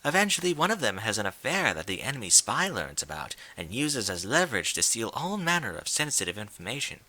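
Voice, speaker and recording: A man voicing a refined young female pony from a cartoon world in a story reading, with genteel, theatrical, gently prodding diction. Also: on the low side, big pitch swings, brisk, heavily acted, rough recording. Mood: grave, dramatic.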